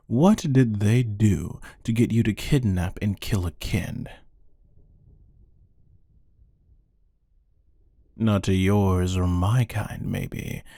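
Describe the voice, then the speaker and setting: A man acts out a cold-blooded killer interrogating a captive in an ASMR roleplay. His voice is deep and mellow, with a slow, deadpan delivery. He taunts with dry, menacing amusement. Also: dry recording. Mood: probing, cold. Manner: deadpan, taunting, unhurried with musing pauses. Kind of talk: scripted ASMR roleplay monologue.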